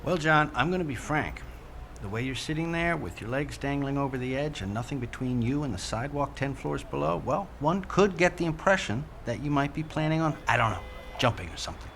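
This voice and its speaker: A man's voice: medium pitch, expressive, brisk, vocal fry, a bit bright, a little hiss or hum. An actor playing a patient, streetwise negotiator in a naturalistic audio drama, folksy and placating, with some hesitation as he coaxes. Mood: careful, frank. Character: streetwise, patient male negotiator coaxing someone off a ledge.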